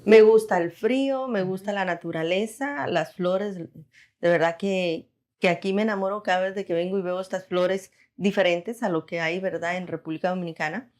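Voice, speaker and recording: A woman's voice: on the low side, fairly even intonation, normal speed, a little mellow. A woman in her forties from Costa Rica, recounting her life casually as an interview guest. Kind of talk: casual personal storytelling.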